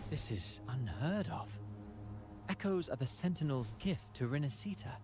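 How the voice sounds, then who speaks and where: An actor playing a courteous, measured man of authority in a video game, speaking formally. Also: mid-range voice, very animated, music in the background, lightly acted. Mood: intrigued, concerned. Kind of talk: video game dialogue acting.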